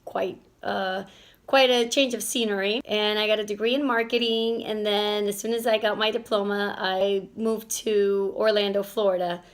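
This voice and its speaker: A woman's voice: mid-range voice, fairly even intonation, moderate pace. A woman from Venezuela hosting a casual family vlog, rambling a bit with restarts and fillers as she talks. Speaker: vlog host and stay-at-home mom.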